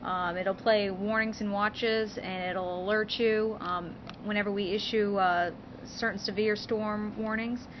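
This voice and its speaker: A young woman giving an informal educational demonstration in a halting, explanatory way in an office. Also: mid-range voice, steady tone. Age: young adult. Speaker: weather service presenter. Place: weather service office full of computers. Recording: muffled audio with clear background noise.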